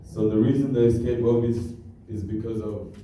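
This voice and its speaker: A young man born in Kenya and raised in Australia tells his family's refugee story as a halting, plainspoken presentation. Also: fairly low pitch, fairly even intonation, warm tone, slight background noise. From born in Kenya to South Sudanese parents, raised in Australia. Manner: halting, restarts often, plainspoken. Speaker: student presenter. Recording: very echoey hall from far off.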